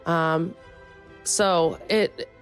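A woman's voice: on the low side, fairly even intonation, very slow, faint music behind. A woman hosting a short advice segment for color guard educators, congested from a cold and explaining her methods step by step.

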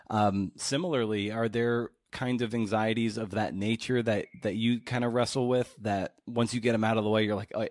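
A man's voice: fairly low pitch, dry recording. Male podcast host, conversational interview style, repeats words, winding questions, dry close sound. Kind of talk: conversational long-form interview.

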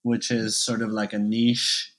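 A man's voice: on the low side, level tone, measured pace, fairly bright tone. A young man guest on a podcast, talking easily and hedging with you know and like. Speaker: interview guest. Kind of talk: casual interview chat.

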